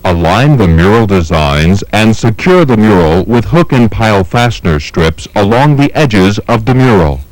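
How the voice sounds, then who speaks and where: Low-voiced man narrating step-by-step instructions. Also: expressive, darkish tone, no echo, poor audio. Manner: measured, methodical, step-by-step delivery. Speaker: training film narrator.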